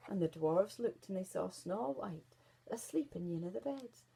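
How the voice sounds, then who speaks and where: A woman with a Scottish accent reading a children's fairy tale in Scots as an expressive storyteller. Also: mid-range voice, normal speed, vocal fry, husky, low-quality recording.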